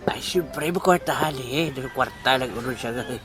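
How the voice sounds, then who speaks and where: A man's voice: medium pitch, moderate pace, over music, natural voice. A man playing a scheming, money-minded village man in a comedy audio drama.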